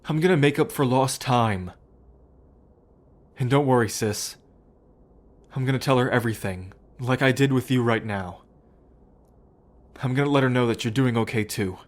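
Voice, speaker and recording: A man's voice: medium pitch, normal speed, long pauses, lightly acted. A man acting a remorseful, affectionate brother in an ASMR roleplay, with a hesitant, heartfelt delivery. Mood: determined, affectionate.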